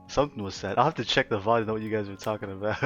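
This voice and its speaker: A man's voice: fairly low pitch, fast, a bit bright. A young man streaming live, reading chat aloud and rambling casually with lots of fillers and frequent laughter. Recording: slightly dull lo-fi stream audio with faint music. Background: faint background music.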